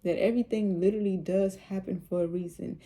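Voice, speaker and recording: A woman with a low, soothing voice, speaking casually and with rambling repeats as she talks about faith on her vlog. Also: steady tone, fast, warm tone. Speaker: vlogger.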